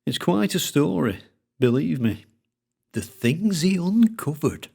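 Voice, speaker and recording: A man voicing a secretive older collector with a thick Lancashire accent, speaking in a slow, droning way with dialect grammar. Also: fairly high pitch, very animated, gravelly, a little mellow, slightly rough, pitched above the performer's own voice, full character voice. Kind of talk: audiobook character voice.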